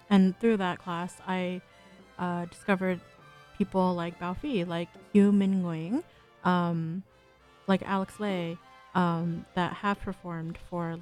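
A woman's voice: lowish voice, darkish tone. A young woman hosting a college radio show, talking casually between songs with lots of ums and little laughs. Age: young adult. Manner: chatty, frequent ums, giggles often.

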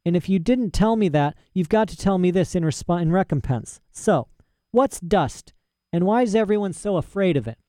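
A man's voice: high voice, lively intonation, moderate pace, warm tone, dry recording, studio quality, pitched above the performer's own voice. A man voicing a fierce, tearful young girl, Lyra. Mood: earnest demanding. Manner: rough working-class speech, indignant.

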